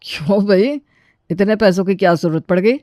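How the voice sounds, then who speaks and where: A woman's voice: medium pitch, moderate pace, dark tone, no echo, studio quality, lightly acted. A woman voicing an irritable, stingy husband in a children's cartoon story. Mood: irritated.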